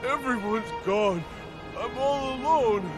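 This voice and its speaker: A male actor playing a lonely, childlike, simple-minded man in a game cutscene, speaking in a halting, confused, plaintive voice. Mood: forlorn, tearful. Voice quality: high-pitched, plaintive. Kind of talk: video game cutscene acting. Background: music.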